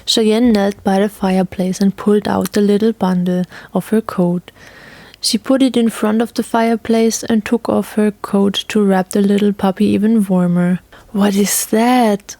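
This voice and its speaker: A woman's voice: medium pitch, steady tone, normal speed, mellow, no room sound. A woman narrator reading a scripted story.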